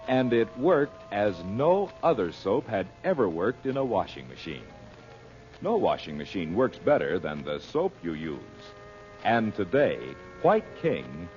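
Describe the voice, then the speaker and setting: Vintage radio announcer, male, polished and declamatory scripted read, lively intonation, music behind. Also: medium pitch. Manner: formal, declamatory, polished salesmanship. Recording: muffled old radio broadcast recording.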